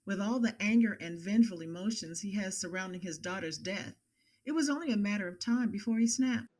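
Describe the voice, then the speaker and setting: An actress plays a female psychologist testifying in an audio courtroom drama. She speaks formally and with guarded care, her voice at an average pitch for a woman. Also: brisk, darkish tone, natural voice. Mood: grave, composed.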